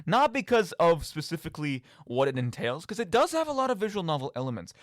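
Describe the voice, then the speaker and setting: A man's voice: on the high side, no echo, studio quality. A man hosting a show, casually rambling about video games in an emphatic way and often checking that listeners follow. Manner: animated, emphatic, often seeking agreement with "right?". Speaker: podcast and radio show host.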